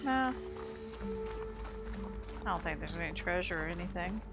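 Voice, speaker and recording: A woman giving casual, relaxed game walkthrough commentary, chatting and musing aloud as she plays. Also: fairly low pitch, moderate pace, vocal fry. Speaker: gaming video host. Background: game music.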